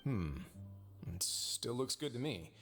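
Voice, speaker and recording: A man playing a composed, wry prison overseer with a noble title in an anime video game, speaking in a measured, self-assured way. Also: medium pitch, lively intonation, fairly bright tone, faint music behind. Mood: thoughtful.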